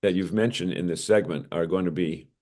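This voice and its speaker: An American man with a slightly low voice chats as co-host of a news show. His delivery is casual and conversational, like someone discussing stories with a colleague. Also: a little fast, a little mellow.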